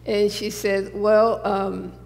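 Creaky-voiced woman in her sixties, echoing church. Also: medium pitch, flat delivery, takes it slowly, darkish tone. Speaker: missionary guest. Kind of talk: personal testimony to a congregation. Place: large church sanctuary, seated on stage. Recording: reverberant church hall.

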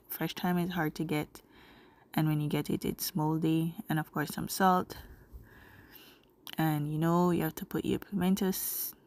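A woman's voice: on the low side, creaky, darkish tone. A young woman from Jamaica with a Jamaican accent, chatting casually as she cooks in her home kitchen, a bit stuffy from a cold, picked up by a camera mic across the room. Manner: chatty, rambling, talking while cooking. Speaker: cooking vlogger. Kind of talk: casual narration over cooking footage.

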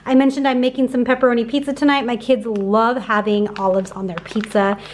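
A woman's voice: on the high side, flat delivery, a little fast. A woman in her thirties chatting casually and upbeat in a voice-over about her groceries, with lots of fillers. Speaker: grocery haul vlogger.

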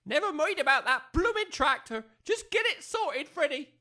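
A woman's voice: high voice, sing-song, moderate pace, pushed and bright, full character voice. A woman playing an exasperated working-class wife and mother with an English accent, scolding in colloquial speech in a comic audio drama. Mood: worried, nagging. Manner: nagging, colloquial.

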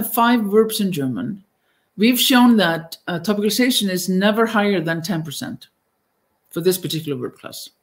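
A middle-aged woman with an Icelandic accent gives a conference lecture over slides on a video call, pausing often with fillers and restarts. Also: medium pitch. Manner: hesitant, many fillers and restarts. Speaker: linguistics lecturer. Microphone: webcam on video call.

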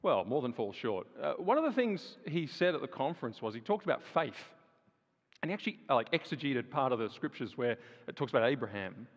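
A man's voice: medium pitch, big pitch swings, fairly quick. A man giving a sermon-style talk to a live audience, speaking conversationally with fillers and rising emphasis. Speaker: guest speaker. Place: large hall with audience. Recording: dull sound with long hall reverb.

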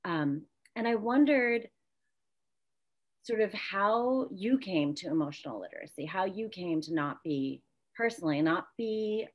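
A woman's voice: mid-range voice, expressive, a little slow, dull audio. A woman in her thirties interviewing casually on a video call, with informal, loose phrasing. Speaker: interviewer, host. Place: indoor room at home, video call.

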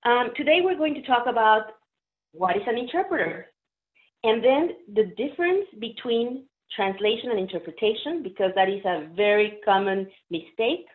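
Woman from Mexico, Mexican Spanish accent, native Spanish speaker, slightly high voice; friendly trainer explaining interpreting over a tinny video call. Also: animated, moderate pace. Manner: friendly, explanatory, uses fillers. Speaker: interpreter presenter. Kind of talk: webinar presentation. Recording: phone-like narrow audio.

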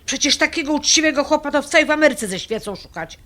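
A manipulative mother in an audio drama, her voice pitched high. She wheedles and scolds with theatrical flair, every line pushed toward melodrama. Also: lively intonation, fast, fairly bright tone. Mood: scolding, evasive.

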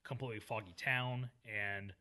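Very bright-voiced man chatting about films. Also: lowish voice, steady tone, normal speed. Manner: chatty, agreeable, frequent "yeah". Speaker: podcast co-host. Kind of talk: casual film discussion.

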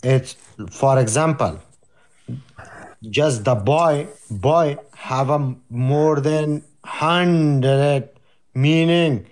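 An older man who is not a native English speaker speaks slowly and haltingly on a video call, full of fillers and repeated pleas to be heard. His voice sits a little high for a man. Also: a little mellow. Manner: halting, many fillers, pleading. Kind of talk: heated online debate.

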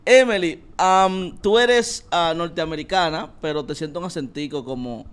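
A man's voice: fairly high pitch, moderate pace, a bit bright, clear, resonant tone. A man in his thirties hosting a comedic talk show interview on a handheld mic in a small room, playful and theatrical, laughing often. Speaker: show host.

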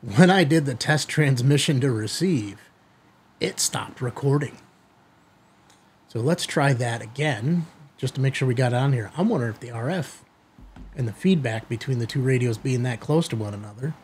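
A middle-aged man hosting a hobby video, giving a product demonstration to camera in a husky voice. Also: mid-range voice, animated, moderate pace, a little mellow, a little hiss or hum. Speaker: host of a ham radio video channel. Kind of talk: product test demo to camera. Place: small indoor studio room.

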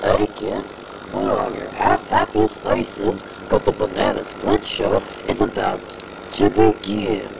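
A man's voice: fairly low pitch, slow, gravelly, background noise, full character voice. Casting: male actor as a cartoonish, cheerful animal mascot, children's show host. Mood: upbeat. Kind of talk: scripted character voice lines.

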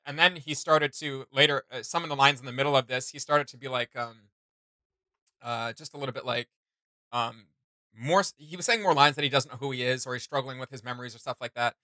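A man in his thirties hosts a casual reaction video. His voice is mid-pitched and bright, recorded dry. He talks in a rambling, slangy way with plenty of emphasis. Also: brisk, no echo. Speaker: reaction channel host. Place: small indoor home studio room.

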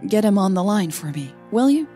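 A woman's voice: medium pitch, big pitch swings, fairly quick, warm tone, soft background music, lightly acted. A woman voicing a curt, cool businesswoman with a clipped manner in an audio story. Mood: businesslike. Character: curt, cool young businesswoman, privately exasperated.

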